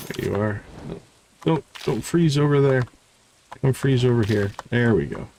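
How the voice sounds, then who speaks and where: Slow-paced man casually commentating a game. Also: mid-range voice, a little hiss or hum, poor audio. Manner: chatty, thinks out loud. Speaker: gaming streamer.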